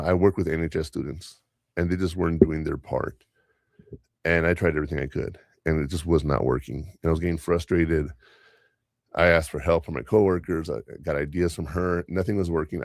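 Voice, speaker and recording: Gravelly deep-voiced male podcast host. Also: no room sound, clean studio sound. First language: Spanish. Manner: rambling, anecdotal, chuckles often. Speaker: podcast host and school teacher. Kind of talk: casual podcast chat.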